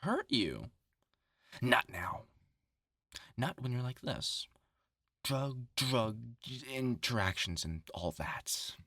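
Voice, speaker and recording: A man's voice: medium pitch, bright, forceful tone, no echo, clean studio sound, exaggerated voice. A man playing a vengeful test subject turned mock doctor in a horror audio roleplay, commanding, sardonic and mock-clinical. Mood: sardonic, controlling.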